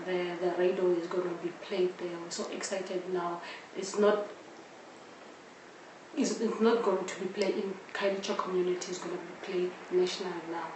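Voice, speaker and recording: A woman with a South African accent answering interview questions in a halting way, often repeating words. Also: fairly low pitch, flat delivery. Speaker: interviewee, audio drama creator. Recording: roomy echo, distant mic, faint noise.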